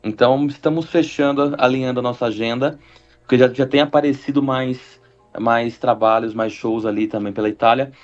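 A man, a double bassist, talking as a radio guest in a rambling, enthusiastic way with many fillers. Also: steady tone, fairly quick, dull audio. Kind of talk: radio interview.

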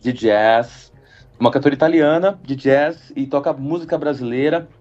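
Rambling musician guest, dull lo-fi sound. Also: male voice, mid-range voice, normal speed, soft background music. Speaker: double bassist, guest. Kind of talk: radio interview.

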